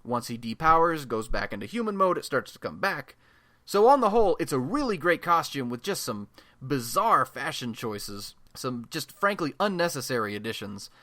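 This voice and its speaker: A man's voice: mid-range voice, big pitch swings, normal speed, pushed and bright, no echo. A man playing a chatty, self-deprecating young American amateur radio blogcaster in a superhero radio play, talking in an informal, wisecracking podcast style. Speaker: radio show host.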